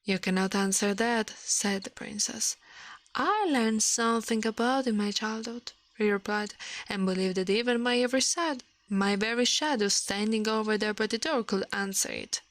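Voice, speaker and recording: A woman reading a fairy tale aloud in a soft ASMR style, careful and a little halting, close to the microphone. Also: mid-range voice, dry recording.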